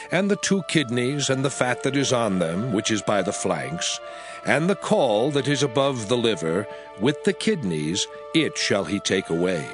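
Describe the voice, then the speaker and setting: A man playing God proclaiming sacred laws in a dramatized scripture reading, solemn, deliberate and declamatory. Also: mid-range voice. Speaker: scripture voice actor. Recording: music under the voice. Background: background music.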